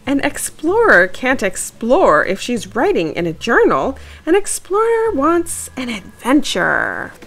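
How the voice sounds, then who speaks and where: A woman's voice: high voice, wildly swooping pitch, slow pace, few pauses, fairly bright tone, pitched above the performer's own voice, full character voice. A woman voicing a bold, eager female mouse in a storybook, impatient and urging, with exclamations. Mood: exclamatory. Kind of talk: storybook character voice.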